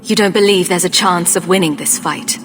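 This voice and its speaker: A woman's voice: fairly low pitch, brisk, noisy background, natural voice. A woman playing a resolute young champion in a video game, firm, earnest and commanding. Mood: calm resolve. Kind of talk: dramatic video game voice acting. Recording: long reverb, music behind.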